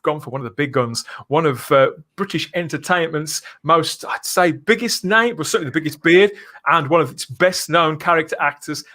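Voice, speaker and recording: A man hosting a live podcast, presenting in an excitable, showman-like way. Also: fairly high pitch, normal speed. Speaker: podcast host.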